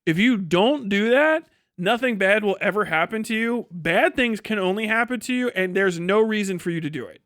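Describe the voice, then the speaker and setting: A man's voice: high voice, expressive, moderate pace, a bit bright, no room sound, clean studio sound. A man hosting a livestream, giving casual, sarcastic and mocking reaction commentary full of swearing. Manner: sarcastic, mocking, laughs often. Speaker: livestream host.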